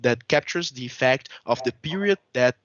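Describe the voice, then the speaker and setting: A man's voice: mid-range voice, animated, normal speed, fairly bright tone, no echo. Young Brazilian man, Portuguese accent, presenting research over a dry, muffled video call. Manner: careful, hesitant, stops to rephrase. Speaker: conference presenter. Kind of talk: academic paper presentation.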